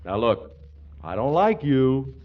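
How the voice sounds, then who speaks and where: An actor playing a reluctant young groom with curt, grumbling lines in a 1940s radio comedy. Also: male voice, mid-range voice, sing-song, slow, crowd noise. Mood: grumpy. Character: reluctant young male groom, grumpy then smitten. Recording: lo-fi 1940s radio broadcast with orchestra.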